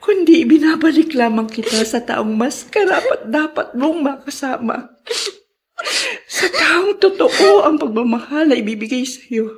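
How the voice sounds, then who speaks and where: A woman's voice: sits high, animated, unhurried. An actress playing an emotional, anguished mother in a Filipino radio drama. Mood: sorrowful farewell. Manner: dramatic, anguished, breaking into sobs. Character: guilt-ridden ailing mother, emotional and remorseful.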